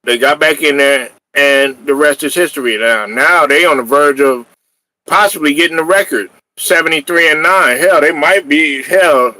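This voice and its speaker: A man hosting a casual sports talk show, animated and trash-talking, often laughing and hollering. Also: fairly high pitch, no room sound, low-quality recording. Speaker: podcast host.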